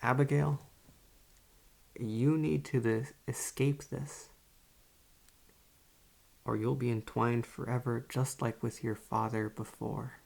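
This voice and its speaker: A man playing a calm, morbidly literary psychiatrist in an ASMR roleplay, speaking in a measured, solemn and quietly controlling way. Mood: soothing, insistent. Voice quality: low, gravelly. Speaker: therapist. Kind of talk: ASMR roleplay, poetry recitation and monologue.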